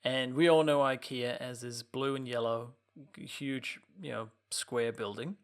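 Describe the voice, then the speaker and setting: Gravelly man, chatty. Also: mid-range voice, fairly even intonation, a little slow. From Denmark. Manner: chatty, explains at length with restarts. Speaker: podcast co-host. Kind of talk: casual chat.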